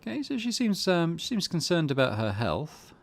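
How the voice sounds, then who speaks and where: A man's voice: on the high side, sing-song, normal speed, gravelly. A man hosting a let's play, reading game text aloud and commenting on it in a thoughtful, musing way.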